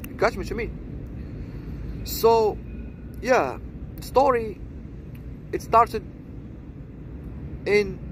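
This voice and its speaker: A man of about thirty-one with a Moroccan accent, rambling to viewers in halting short phrases and constantly adding tag questions. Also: high-pitched, background noise. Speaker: seduction coach introducing own channel. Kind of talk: rambling vlog monologue.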